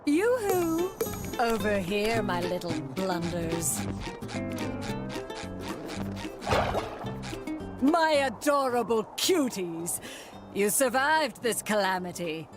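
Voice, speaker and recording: A woman's voice: mid-range voice, sing-song, slow, over loud music. A woman voicing a scheming fortune-teller in a cartoon game, theatrical, sugary and sly. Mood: sugary, gloating. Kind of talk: cartoon video game acting.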